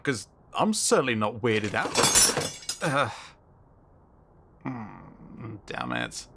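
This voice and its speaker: A man's voice: mid-range voice, a bit bright, exaggerated voice. A man playing an easily spooked, wry friend in a scripted sci-fi roleplay, talking in a hesitant, casual, dryly joking way. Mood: defensive, uneasy.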